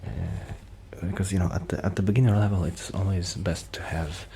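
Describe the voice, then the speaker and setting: A soft-spoken man rambling casually in a shy, hesitant, apologetic way, in the style of an ASMR friend roleplay. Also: low-pitched, fairly even intonation, moderate pace. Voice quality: soft-spoken, sometimes dropping toward a whisper. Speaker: ASMR roleplay performer playing a musician friend. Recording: clear background noise.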